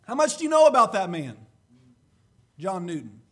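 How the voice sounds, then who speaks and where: A man preaching a sermon and reading scripture, slightly hoarse, with a deliberate, emphatic delivery. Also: high voice, sing-song.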